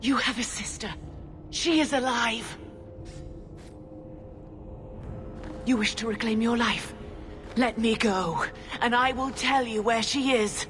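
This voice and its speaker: A woman's voice: on the high side, unhurried, faint music behind, close to an everyday voice. An actress playing a haughty villainous female mage in a video game, cornered and bargaining with urgency. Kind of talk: dramatic video game acting.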